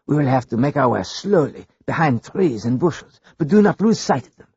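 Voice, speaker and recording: A man's voice: medium pitch, lively intonation, normal speed, a little mellow, breathy edge, dry recording, voice pushed up. A man acts out a determined detective in a storybook who gives his orders in an urgent, commanding way. The recording is dull and lo-fi, with no room sound. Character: determined male police detective.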